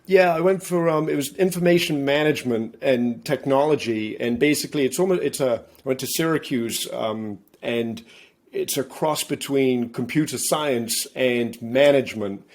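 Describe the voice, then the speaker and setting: A man's voice: mid-range voice, a little mellow. English-accented man on a video call. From England. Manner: halting, many restarts and fillers. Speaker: guest. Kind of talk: casual interview. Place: home room, indoors.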